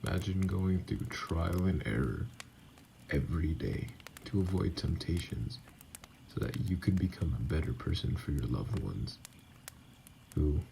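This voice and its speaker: Male actor as wounded self-loathing vampire, heavy vocal fry, low pitch, near-whispered, brooding, halting, ASMR audio drama. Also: expressive, mellow, slight background noise, heavily acted, low-quality recording. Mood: bitter, hurt. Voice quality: gravelly, breathy, often near whisper.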